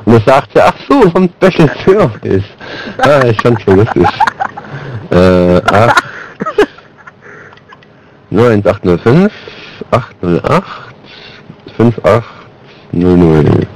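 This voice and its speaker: A man with a lowish voice talks casually and a little haltingly, keeping a straight face as a prank caller. There is faint noise on the homemade recording. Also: very animated. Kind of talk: prank phone call, casual chat. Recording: noisy amateur recording of phone prank.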